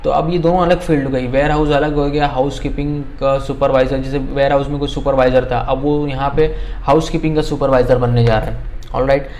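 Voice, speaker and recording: A man teaching spoken English in a casual lesson, dropping "okay" often and slowing right down to say English example phrases. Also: medium pitch, fairly even intonation, a little mellow, slight background noise. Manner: conversational, repeats "okay" and slows down to spell out English phrases.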